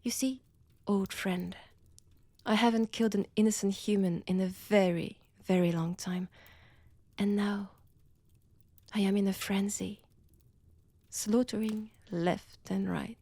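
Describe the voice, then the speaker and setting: Woman playing a slow, whispering French-accented vampire seductress. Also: medium pitch, steady tone, mellow, no room sound. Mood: menacing, gloating. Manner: slow, taunting, theatrical, dropping often into breathy whispers. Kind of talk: intimate scripted roleplay audio drama, often whispered.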